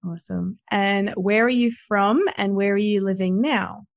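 An enthusiastic woman speaks as a podcast host, with a medium-pitched voice. She is effusive and chatty, talking casually. The sound is close and dry, with very little room to it. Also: steady tone, normal speed, vocal fry, no room sound, muffled recording. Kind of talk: casual interview chat.

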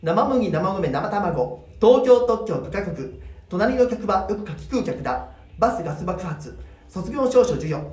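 A woman's voice: mid-range voice, mellow. A woman chatting politely and reciting tongue twisters as a voice-acting show regular. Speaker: aspiring voice actor and show regular. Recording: echoey room, distant microphone.

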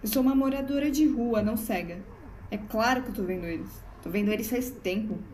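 A woman's voice: a little high, brisk, a little mellow, close to an everyday voice. A woman with a Brazilian accent playing a homeless, bitterly resigned doomsayer in an audio drama, speaking with urgent emphasis. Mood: wry, insistent. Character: homeless woman, wary doomsayer witnessing an alien invasion. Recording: echoey room with faint background noise.

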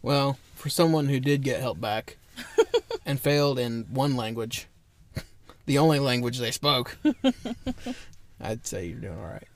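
Young male co-host, joking and animated, gravelly fry with a bright edge, dry close sound. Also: medium pitch, measured pace, pauses often, no room sound. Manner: joking, laughs often, animated. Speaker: podcast co-host. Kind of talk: casual chat.

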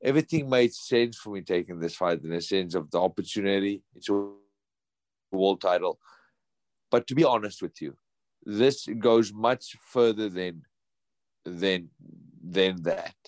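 A man's voice: deep voice, a little slow, darkish tone, no echo. A professional boxer in his thirties answering interview questions earnestly, often repeating and restarting words. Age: 30s.

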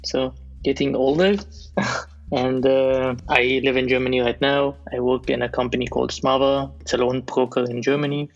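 A man in his thirties from Egypt, a software developer speaking as a podcast guest in a measured, thoughtful way, often pausing to rephrase. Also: medium pitch, little pitch movement, vocal fry, lo-fi sound. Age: 30s. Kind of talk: casual interview.

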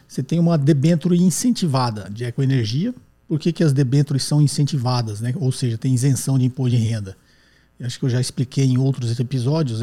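A man's voice: medium pitch, dark tone. A man hosting a podcast, answering a listener's question step by step and often tagging his sentences with a questioning "right?". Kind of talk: explanatory podcast talk.

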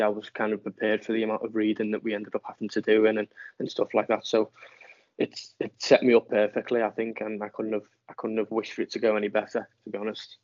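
Young scouse guy, lowish monotone voice, keeps restarting, dry dull sound. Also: no room sound. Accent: Liverpool. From near Liverpool, England. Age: young adult. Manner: halting, many restarts. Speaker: student footballer guest. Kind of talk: casual interview chat.

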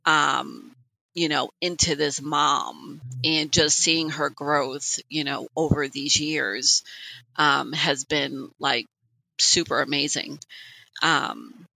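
A woman in her late forties co-hosting a podcast, telling personal stories in an animated, conversational way. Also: sits low, slow, gravelly, no room sound, rough recording. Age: late 40s. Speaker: podcast co-host. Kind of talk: casual personal podcast chat.

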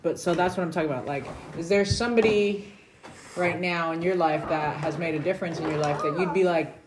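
A woman's voice: on the low side, a little fast. A middle-aged woman hosting a casual family scripture discussion at home, asking questions and prompting her kids. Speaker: podcast host and parent. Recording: roomy home recording with background noise.

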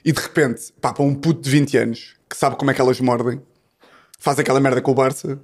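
A man's voice: mid-range voice, fairly even intonation, quick pace. A man, co-hosting a football chat, slangy and scattered with mock-serious jokes. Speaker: podcast co-host.

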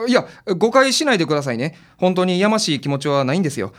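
A man's voice: a little high, fast talker. Flustered Kansai family man played by a male actor. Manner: hesitant, defensive, excitable. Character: flustered, sentimental married father, a male client. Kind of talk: audio drama acting.

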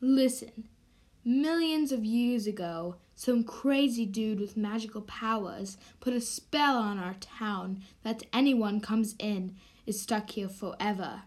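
Radio drama role: cocky, commanding young man, female performer, unhurried delivery. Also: on the high side, darkish tone, pitched below the performer's own voice. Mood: patient, explaining. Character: self-assured, vain young man from a magic town. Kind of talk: amateur radio drama acting.

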